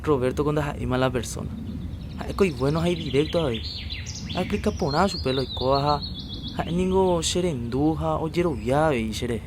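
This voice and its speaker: A man voicing a rural Paraguayan man in a radio drama, with a Paraguayan accent, talking in quick excited bursts. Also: on the high side, background noise, lightly acted. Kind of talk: radio drama acting in Jopara.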